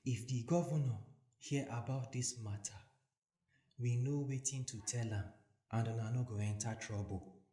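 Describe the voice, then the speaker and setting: A Nigerian man voicing a scheming priest in a scripture story, hushed and conspiratorial. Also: medium pitch, moderate pace, natural voice. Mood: sly, conspiratorial. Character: scheming male priests quietly bribing soldiers. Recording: echoey voice recording with music.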